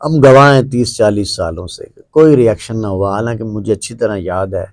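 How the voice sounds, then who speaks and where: A man's voice: lowish voice, darkish tone, no echo, clean studio sound. A middle-aged Pakistani man hosting a conversational interview, mixing English words and phrases into his talk. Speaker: host.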